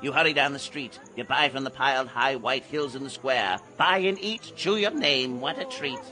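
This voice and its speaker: An actor playing a sly, gleefully ghoulish street peddler in a theatrical radio drama, chanting in a drawn-out sing-song. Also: male voice, medium pitch, natural voice. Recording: loud music behind the voice. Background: music.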